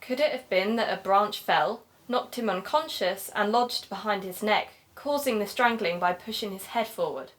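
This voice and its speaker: A woman playing a keen, sociable amateur investigator and podcaster in an audio drama, talking in a measured, conversational way. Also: mid-range voice, close to an everyday voice. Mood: thoughtful.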